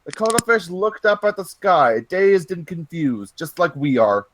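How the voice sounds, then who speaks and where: A man's voice: sits high, very animated, unhurried, fairly bright tone, slight background noise, low-quality recording. A man hosting a mocking read-aloud of fan fiction, repeating lines emphatically and dropping in joking asides.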